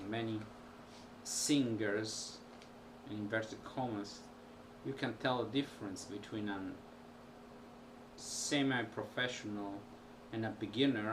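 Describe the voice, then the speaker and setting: A man, a musician, talking casually to camera at home in a halting way with many pauses. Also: fairly low pitch. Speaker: musician vlogger. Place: small room at home. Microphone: built-in camera mic. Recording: distant home camera audio with background noise.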